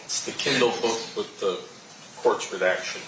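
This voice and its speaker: An American man questioning a witness as prosecutor in court, halting and feeling for his words. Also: lowish voice. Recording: echoey courtroom, distant mic, clear noise.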